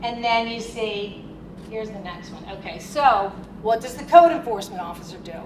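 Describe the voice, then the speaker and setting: Woman with a Philadelphia accent, chatty keynote speaker, pitched a bit high, picked up from afar in an echoey, noisy hall. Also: moderate pace, distant mic. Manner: lively, conversational and chatty. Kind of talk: conference keynote talk. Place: conference hall.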